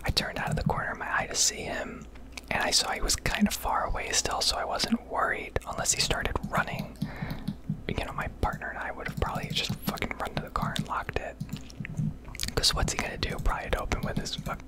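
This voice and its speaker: An American woman rambling candidly and casually in a breathy ASMR whisper, swearing now and then, recorded on a close microphone. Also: fairly quick, poor audio. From United States. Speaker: ASMR video creator.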